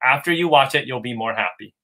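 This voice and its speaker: Californian man on a video-call livestream, bright and forward tone, eager and rambling. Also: medium pitch, fast. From United States, California. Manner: rambling, enthusiastic, many restarts. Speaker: guest. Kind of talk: casual livestream chat.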